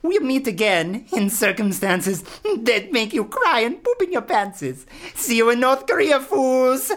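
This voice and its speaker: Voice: mid-range voice, sing-song, measured pace, a bit bright. A performer playing a pompous, scheming villain swami in a comic radio play, with theatrical, taunting delivery. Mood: enraged. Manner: theatrical, gloating, rhyming taunts.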